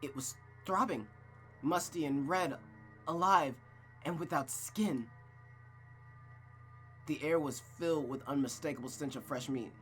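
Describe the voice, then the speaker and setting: A man with a fairly high voice hosts a story game stream over faint music. He reads narration aloud and chats casually about the plot, with slangy, exclamatory phrasing and emphatic repetition. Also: a little slow, pauses often, a bit bright. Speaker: streamer reading a visual novel aloud. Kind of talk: narrated reading and casual, profane recap chat.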